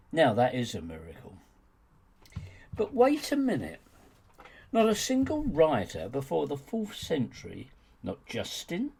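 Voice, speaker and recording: A man reading his own argumentative nonfiction audiobook as scripted narration, with an emphatic, rhetorical delivery and a gravelly, husky voice. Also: medium pitch, darkish tone, poor audio.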